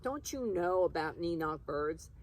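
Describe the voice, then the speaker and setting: A woman's voice: lowish voice, animated, measured pace, a little mellow. A woman voicing a superior, self-important little girl from a children's storybook, scornful in manner. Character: bossy, superior know-it-all little girl.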